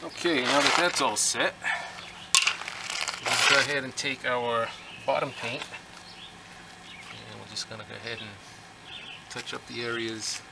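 A middle-aged man casually explaining a do-it-yourself boat repair, relaxed and plainspoken with lots of fillers, recorded outdoors in a rural driveway. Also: medium pitch, measured pace, gravelly, long pauses, very noisy. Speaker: DIY workshop vlogger. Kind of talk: casual how-to narration. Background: birds and outdoor ambience.

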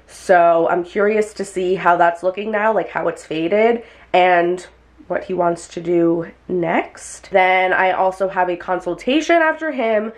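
A woman's voice: fairly low pitch, a little slow. A young woman, a hairstylist, chatting casually and rambling to a handheld camera in small home rooms and a car, with lots of "like" fillers. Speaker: hairstylist and vlogger. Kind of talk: casual vlog chat to camera. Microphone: handheld camera at arm's length.

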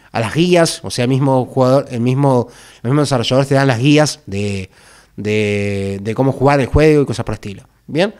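A man speaks off the cuff to his viewers in a mid-range voice. He is halting, often repeating words and checking in with short tag questions. He is close to a microphone in a small room. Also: medium pitch, a little mellow. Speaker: video creator. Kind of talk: casual unscripted talk to camera. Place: small bare indoor room. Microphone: microphone on a boom arm, close.

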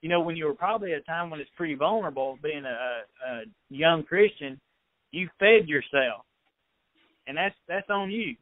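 A man co-hosting an interview, chatting casually and haltingly, often repeating words as he forms a thought. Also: fairly high pitch, lively intonation. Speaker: podcast co-host. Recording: dry, tinny phone-like sound.

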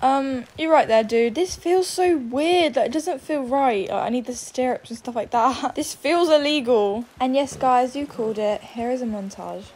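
A woman giving casual, excitable commentary as she role-plays in a video game, exclaiming often. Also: high voice, normal speed, hardly any pauses. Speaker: gaming video creator.

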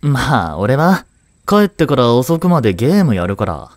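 A man playing a laid-back, cheeky, protective male high school student in a romantic audio drama, with casual, slangy, reassuring delivery. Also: very animated, normal speed, no echo, clean studio sound, close to an everyday voice. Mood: relaxed, candid.

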